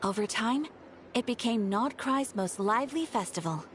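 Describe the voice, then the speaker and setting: Guild receptionist for a game, female actor: formal, helpful, lightly high voice with animated intonation. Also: normal speed, a little hiss or hum, lightly acted. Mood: informative. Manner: formal, courteous, explanatory. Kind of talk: scripted video game dialogue.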